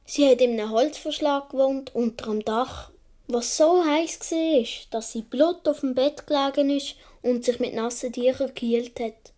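A woman narrating a radio play as a dreamy young boy, with a Swiss German accent and wistful, unhurried storytelling. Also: sits high, dark tone, lightly acted. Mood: wistful, fond. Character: dreamy young Swiss boy recalling his earliest childhood.

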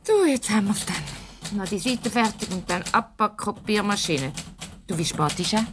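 An actress playing a gossipy, teasing office colleague with a Swiss German accent and a flair for melodrama, in 1970s radio comedy style. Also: female voice, medium pitch, animated, moderate pace, slight background noise, natural voice. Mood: matter-of-fact.

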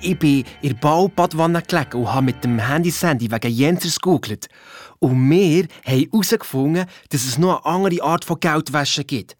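A woman's voice: low-pitched, moderate pace, a little mellow, no room sound, faint music behind. A woman playing a cheeky, blunt young boy detective with a Swiss German accent, chiming in casually in a children's radio play. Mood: casual, wry.

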